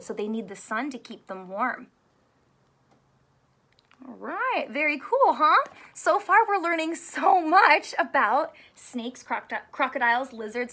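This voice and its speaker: High-pitched woman teacher, slow read-aloud. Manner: animated, expressive, enunciates for young children. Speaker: primary school teacher reading aloud. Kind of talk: children's read-aloud lesson with questions to young viewers. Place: small home room with sofa and soft toys.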